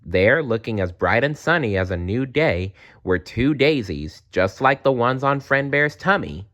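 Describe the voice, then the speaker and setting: A man narrating a children's storybook aloud in an expressive read-aloud style. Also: medium pitch, a little slow. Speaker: storybook reader.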